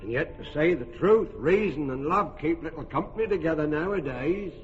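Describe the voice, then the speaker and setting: An actor playing a boastful comic weaver under a spell, full of bravado, in a classic radio drama. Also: male voice, fairly high pitch, lively intonation, normal speed, long reverb tail, lo-fi sound, faint music behind, close to an everyday voice. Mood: wry. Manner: blustering, comic bravado, bursts into song. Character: boastful comic weaver turned ass, bluff and blustering.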